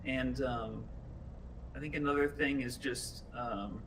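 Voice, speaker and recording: A man talking casually and thoughtfully as a game developer, with hesitant pauses. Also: mid-range voice, steady tone, a bit bright. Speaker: game art director. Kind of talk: casual panel talk. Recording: distant mic with faint noise.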